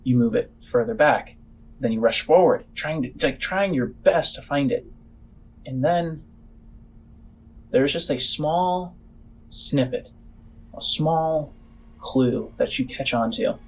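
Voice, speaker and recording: A man narrating as game master of a tabletop role-playing game, phrasing things haltingly and deliberately with pauses. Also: medium pitch, a little mellow, a little hiss or hum, poor audio. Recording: music behind the voice. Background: music.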